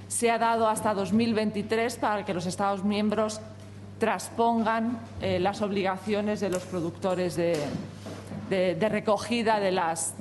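A woman whose first language is Spanish, representing a company at a parliamentary hearing and giving formal testimony in a measured, hesitant way with frequent fillers. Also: medium pitch, darkish tone, long reverb tail, a little hiss or hum.

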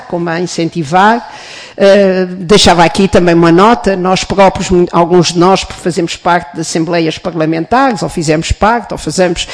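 A woman with a European Portuguese accent, speaking as a member of parliament in a formal committee hearing, with many pauses, fillers and restarts. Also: lowish voice.

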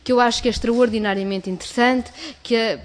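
A woman's voice: on the high side, steady tone, fast talker. A woman with a European Portuguese accent, a member of parliament giving a formal, articulate statement in a committee hearing.

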